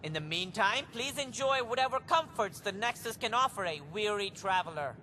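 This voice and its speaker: A man's voice: sits high, animated, normal speed, sharp tone, slight background noise, rough recording. An actor voicing a pompous, self-congratulating alien official man giving a public speech in a video game.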